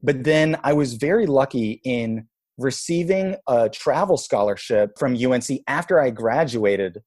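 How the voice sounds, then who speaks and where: A man in his thirties from Israel, a guest telling his life story in a relaxed, reflective way, with many "like"s and "you know"s. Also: mid-range voice, measured pace. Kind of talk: casual storytelling interview.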